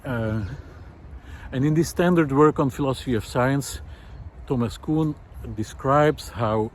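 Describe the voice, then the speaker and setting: A man, musing aloud in a walk-and-talk video. He talks reflectively and often pauses with "uh". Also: mid-range voice, slight background noise. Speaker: video essayist. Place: outdoor park lawn. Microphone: handheld camera while walking.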